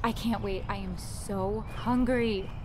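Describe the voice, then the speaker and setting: A woman's voice: mid-range voice, steady tone, slow, slight background noise, natural voice. A woman playing a friendly, eager young teacher in an amateur audio drama.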